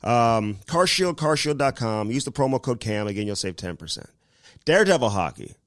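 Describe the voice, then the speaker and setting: A man's voice: mid-range voice, big pitch swings, normal speed, no echo, clean studio sound. A man co-hosting a podcast, rambling through ad reads and casual chat with lots of fillers, sounding a little nasal from a cold. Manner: rambling, filler-heavy delivery. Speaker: podcast co-host.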